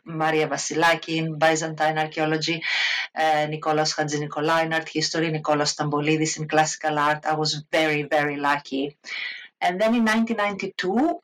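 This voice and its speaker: A woman in her fifties with a Greek accent, a guest art historian telling her story in an interview, animated, with many restarts. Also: deep voice, rough recording. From Greece, Crete. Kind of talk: interview conversation.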